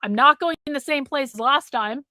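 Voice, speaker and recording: A woman's voice: sits high, normal speed, pushed and bright, no room sound, clean studio sound. A middle-aged woman hosting a livestream, talking bluntly to her chat, swearing freely and repeating herself for emphasis while losing her voice. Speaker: livestream host. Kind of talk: casual livestream talk to chat.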